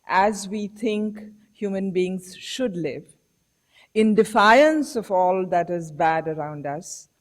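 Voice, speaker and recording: Female academic lecturer, slow deliberate reflective delivery, rhetorical questions. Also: medium pitch, a little mellow. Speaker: professor giving a public lecture. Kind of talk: public lecture. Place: lecture hall.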